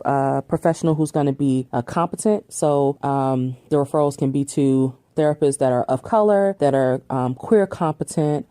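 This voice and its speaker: A woman hosts her podcast alone, answering questions in a loose, chatty way full of ums and easy laughter. Her voice sits low and warm. The sound is close and dry. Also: dry recording. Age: 30s. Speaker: podcast host. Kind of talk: casual solo Q&A chat.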